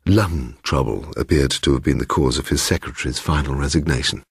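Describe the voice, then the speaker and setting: A man narrating a first-person ghost story as a dramatic audiobook, measured and literary in manner. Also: very deep voice, creaky, breathy edge, no room sound, studio quality.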